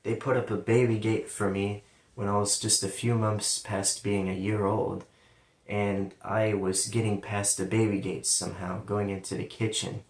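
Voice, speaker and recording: A man's voice: fairly low pitch, little pitch movement, moderate pace. A man with a central Ohio accent, sometimes saying L as a W, telling his life story in a casual, rambling monologue. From London, Ohio, USA. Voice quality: sometimes turns L into a W sound. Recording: roomy, distant from the mic.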